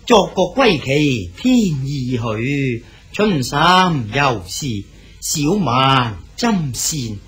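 An actor playing a cultured Song dynasty poet and prefect, speaking Cantonese and reciting verse slowly. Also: male voice, medium pitch, big pitch swings, a bit bright, breathy edge, full character voice. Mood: recitative. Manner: measured, declaims poetry slowly. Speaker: Su Dongpo character in a radio drama. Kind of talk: 1980s style Cantonese radio drama acting.

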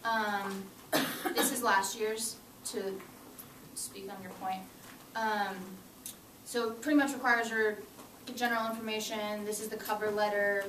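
A young woman in her twenties presents to classmates in a lecture room with a chatty, informal, upbeat manner. Her voice sounds roomy and far from the mic, with room noise clearly underneath. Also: mid-range voice, little pitch movement, unhurried, fairly bright tone. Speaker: student group co-president. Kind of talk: casual presentation. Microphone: far camera.

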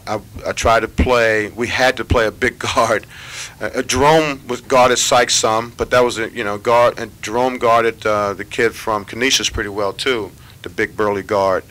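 A middle-aged man, a basketball head coach, answering reporters after a game in measured, unhurried talk full of fillers and pauses. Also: fairly low pitch, fairly bright tone. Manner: measured, thinking aloud with many fillers. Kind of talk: postgame press conference answers. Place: press room with sponsor backdrop. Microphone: table microphones at a press conference.

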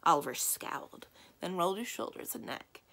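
Young woman reading aloud, lowish creaky voice. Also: animated, moderate pace, fairly bright tone. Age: young adult. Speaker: library storyteller. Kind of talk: children's book read-aloud.

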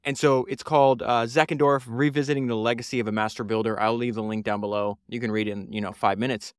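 A man's voice: mid-range voice, fairly even intonation, fast, smooth, no room sound, studio quality. A man, hosting a solo podcast and talking through a book in an earnest, rambling way with many fillers and restarts. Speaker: podcast host.

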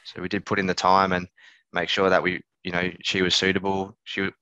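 A man in his thirties, a business owner giving casual, halting interview answers, thinking aloud. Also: deep voice, completely flat delivery, pushed and bright, dry recording. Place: small office on a video call. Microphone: headset. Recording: slightly dull video-call audio.

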